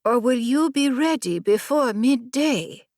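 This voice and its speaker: A woman's voice: high-pitched, expressive, measured pace, darkish tone, no echo, studio quality, voice pushed up, full character voice. A woman voicing a proud, haughty teenage noblewoman warrior with formal, clipped, imperious speech in an audiobook story. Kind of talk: audiobook character dialogue.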